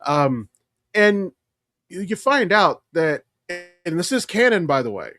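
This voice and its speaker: A man's voice: high-pitched, sing-song, slow pace, pushed and bright. A man co-hosting a podcast, talking casually and emphatically like an opinionated, enthusiastic fan explaining things. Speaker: podcast co-host.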